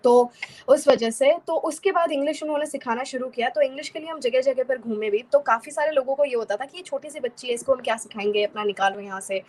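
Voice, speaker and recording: A woman's voice: sits high, quick pace, a little mellow. A young woman from Haryana, explaining fluently as an interview guest and mixing in many English words. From Haryana, India. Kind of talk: casual interview conversation.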